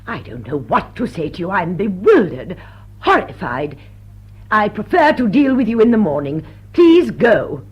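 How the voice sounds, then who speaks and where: A woman's voice: medium pitch, very animated, unhurried, muffled recording. A woman acting an indignant, imperious society hostess in classic stage drama, commanding and theatrical. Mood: horrified.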